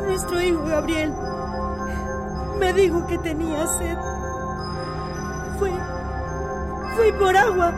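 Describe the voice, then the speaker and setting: An actress playing a grieving village wife and mother, crying and wailing in a slow, broken way. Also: very high-pitched, steady tone, loud music behind the voice. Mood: sobbing grief. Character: grieving village wife, mother who lost her son. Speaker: Dolores, Gabriel's wife. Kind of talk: radio drama acting.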